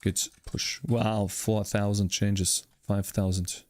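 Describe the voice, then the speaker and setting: A man's voice: lowish voice, level tone, takes it slowly, a little mellow, no echo. Man livestreaming code with dry sound. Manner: thinks aloud while coding, greets chat by name. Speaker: livestreaming game developer. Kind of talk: casual live coding stream chat.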